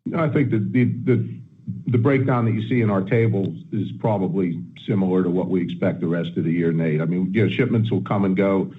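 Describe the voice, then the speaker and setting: A man speaking as a company executive on an earnings call, answering in a measured, guarded, halting way. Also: sits low, a little hiss or hum. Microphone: telephone conference line. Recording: narrow, tinny conference phone line.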